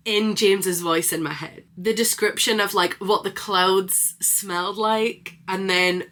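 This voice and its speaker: Female vlogger, mid-range bright voice, expressive and informal, casual reviewer chat with plenty of enthusiasm. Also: medium pitch, unhurried. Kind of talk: casual read-along reaction chat.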